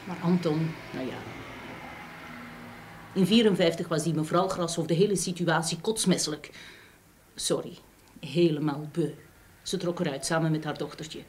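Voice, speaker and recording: An actress playing a frank, scornful, devoted middle-aged wife in a radio drama, with an accent from the Netherlands. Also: female voice, low-pitched, steady tone, moderate pace, long pauses, darkish tone, lightly acted. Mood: scornful, indignant. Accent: Dutch from the Netherlands. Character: outspoken, wronged but devoted middle-aged Dutch wife. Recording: old radio play with background hiss.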